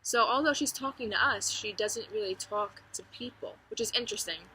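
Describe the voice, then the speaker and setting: Bright-voiced woman reading to children outdoors. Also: fairly high pitch, fairly quick, rough recording. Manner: expressive, addresses children directly. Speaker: teacher reading aloud to students. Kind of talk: children's book read-aloud with explanatory asides. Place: outdoors among trees. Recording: outdoor ambient noise, faint birdsong. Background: birds and bugs.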